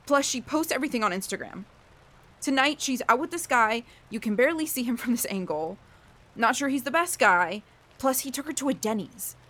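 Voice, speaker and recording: A woman's voice: a little high, animated, a little fast, a bit bright. An actress playing an earnest, lonely, self-deprecating young woman in an audio drama. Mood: earnest, confiding. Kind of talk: audio drama acting, confessional monologue and dialogue.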